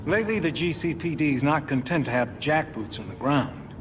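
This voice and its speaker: Slightly high male voice, actor as methodical tech advisor, formal, measured, precise, video game briefing, clear background noise. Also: lively intonation, lightly acted. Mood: calm, instructive.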